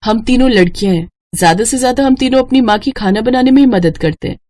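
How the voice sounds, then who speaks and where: A woman's voice: a little high, quick pace, darkish tone, voice pushed up, lightly acted. A woman voicing an earnest, determined young village girl in a cartoon story.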